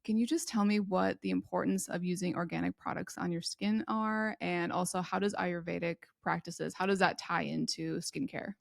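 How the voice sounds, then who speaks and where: A woman hosting a podcast, chatty and full of enthusiasm as she introduces her guest and asks her questions. Her voice sits a little low and carries plenty of gravelly fry. The sound is close and dry. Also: steady tone, moderate pace, hardly any pauses, dry recording. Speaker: podcast host.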